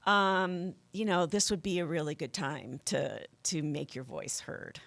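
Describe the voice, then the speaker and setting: Low-pitched woman, halting radio guest. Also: creaky, a bit bright. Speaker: guest, protest rally organizer. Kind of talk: unscripted radio interview, casual explaining.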